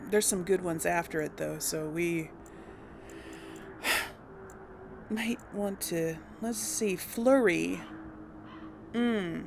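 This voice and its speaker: A woman hosting a let's play, chatting casually about her game choices and thinking out loud with plenty of ums. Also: on the low side, very slow, gravelly, long pauses, background noise. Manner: chatty and unsure, thinking aloud with many ums. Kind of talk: casual gameplay commentary.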